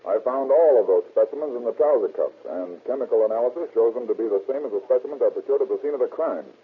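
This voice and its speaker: An actor playing a confident, methodical police chemist in an old radio drama, precise and matter-of-fact. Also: male voice, medium pitch, lively intonation, fast, slightly rough. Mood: explaining. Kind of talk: old-time radio acting. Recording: muffled, scratchy old radio recording.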